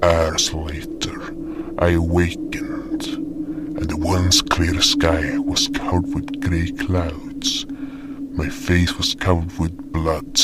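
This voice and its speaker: A man playing a somber fantasy guardian, narrating his story gravely and deliberately in an audio drama. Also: low voice, level tone, in a whisper. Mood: dazed, grave. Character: somber male fantasy guardian recounting a doomed hunt. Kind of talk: scripted first-person story narration. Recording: noisy background ambience.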